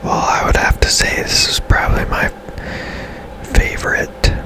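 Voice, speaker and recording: A man speaks in a hushed, breathy whisper throughout. His delivery is slow and unhurried, with long, easy pacing. He gives casual ASMR-style commentary as a gaming streamer.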